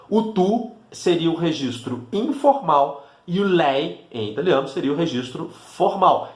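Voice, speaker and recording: Chatty Brazilian man in an echoey room. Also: a little high. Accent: Brazilian Portuguese, Minas Gerais. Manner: chatty, frequent fillers and restarts. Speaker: Italian teacher. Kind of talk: casual video lesson.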